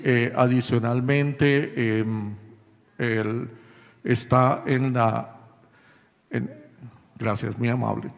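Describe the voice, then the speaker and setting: A man hosting an event, introducing a guest speaker in a halting way with many fillers. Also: medium pitch, gravelly, in a large hall. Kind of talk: formal introduction.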